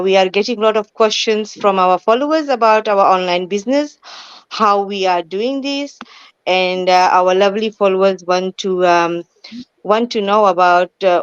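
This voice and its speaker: A woman hosts a live online talk show in a hesitant, halting manner. Her voice is smooth and dry, with a dull, lo-fi sound from an online call. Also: mid-range voice, no room sound.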